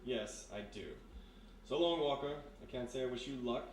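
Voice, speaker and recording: A man's voice: mid-range voice, moderate pace, lightly acted. Man playing a dry, distant-sounding army major. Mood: dry needling. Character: dry, courteous, needling male army major. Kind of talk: 1930s radio mystery acting. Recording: distant, roomy live stage, faint noise.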